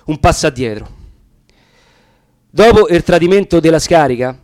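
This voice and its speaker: A man's voice: fairly high pitch, animated, moderate pace, darkish tone, dry recording, studio quality. A man with a Roman accent reciting narrative dialect verse in a solemn, theatrical manner. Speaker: reader of dialect poetry.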